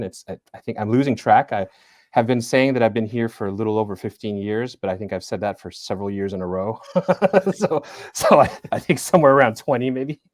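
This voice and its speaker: A man in his forties, a guest in an interview, speaking thoughtfully and hesitantly with frequent fillers and soft laughs. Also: on the low side, no room sound, studio quality. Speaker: interview guest, business school center director. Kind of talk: casual interview talk.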